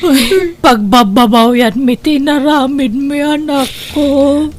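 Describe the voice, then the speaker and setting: A woman's voice: high voice, slow, a little mellow. A woman with an Ilocano Filipino accent playing a scheming, guilt-ridden mother in an audio drama. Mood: apologetic. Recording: music under the voice.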